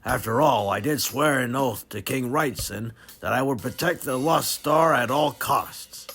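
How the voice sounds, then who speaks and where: A man's voice: medium pitch, measured pace, a bit bright. A man playing an ancient, loyal temple guardian in an audio drama, speaking earnestly and formally with casual touches. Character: ancient male temple guardian freed from a tomb, loyal oath-keeper.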